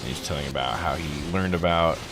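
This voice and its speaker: A man's voice: a bass voice, brisk, noisy background. A man streaming a visual novel, thinking aloud in a laid-back, jokey way as he plays. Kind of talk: casual game commentary with read-aloud text. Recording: voice over game music. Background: video game music.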